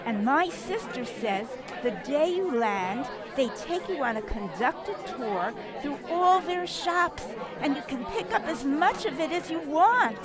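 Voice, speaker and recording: A woman in amateur radio theater playing an excited, gushing passenger waiting to depart. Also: sits high, measured pace, few pauses. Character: excited, chatty female passenger awaiting departure. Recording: busy crowd hubbub behind.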